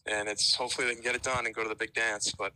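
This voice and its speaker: Man, young caller, fast talker, very bright, tense, emphatic, dry sound. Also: lowish voice, flat delivery, rough recording. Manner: eager, emphatic. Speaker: caller, college sports fan. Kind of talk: call-in sports radio.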